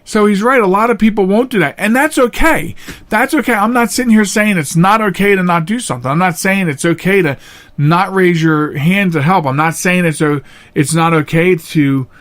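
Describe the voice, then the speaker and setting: A man hosting a podcast in a casual, unscripted monologue, earnest and plain-spoken, halting at times and repeating phrases for emphasis. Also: high-pitched, fairly bright tone. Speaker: podcast host.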